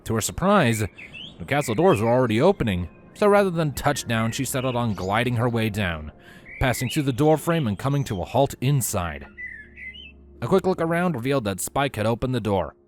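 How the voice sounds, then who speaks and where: Guy reading a story out loud, really lively delivery, bit of noise in the back. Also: medium pitch, fairly quick. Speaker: fan fiction reader. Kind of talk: animated storybook narration.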